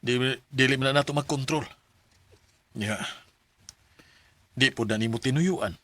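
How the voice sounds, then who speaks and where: A man with a Cebuano accent playing a gentle, accepting father in a radio drama. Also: mid-range voice, unhurried, no room sound. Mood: tender, resigned.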